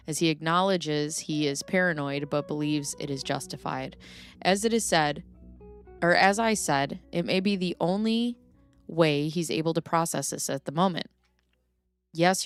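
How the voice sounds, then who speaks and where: A woman hosts a live stream in a chatty, reactive way. She reads messages aloud and talks back to viewers by name. Her voice sits low for a woman. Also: clean tone.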